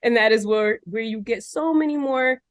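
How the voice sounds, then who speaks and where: A woman hosting a podcast interview over a video call, upbeat and quick to laugh. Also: fairly high pitch. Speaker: podcast host. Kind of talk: casual interview chat.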